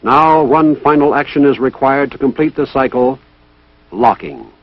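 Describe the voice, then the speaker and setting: A man's voice: mid-range voice, normal speed, no echo. A man gives formal, methodical scripted narration as the narrator of an instructional training film. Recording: dull, band-limited vintage military film soundtrack.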